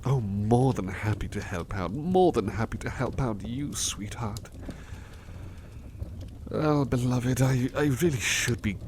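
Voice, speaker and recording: Slow-talking, devoted weary butler played by a man. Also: medium pitch, a little mellow, slight background noise, lightly acted. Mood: affectionate, cosy. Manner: hesitant, halting, polite old-fashioned phrasing, affectionate. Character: overworked, devoted, weary butler resting affectionately on partner's lap. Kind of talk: ASMR roleplay, intimate personal attention.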